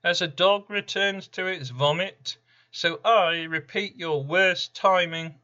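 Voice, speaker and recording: Guy doing an ominous smug doom horseman in a poem, raised pitch, loud n forceful, slightly muffled. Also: slow pace, exaggerated voice. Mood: smug menace. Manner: declamatory, ominous, smugly self-assured. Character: indifferent apocalyptic horseman spirit of random misfortune.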